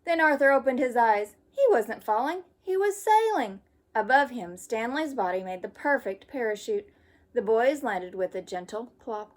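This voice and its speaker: A woman reading a children's story aloud like a teacher, with expressive storytelling. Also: a little high, normal speed.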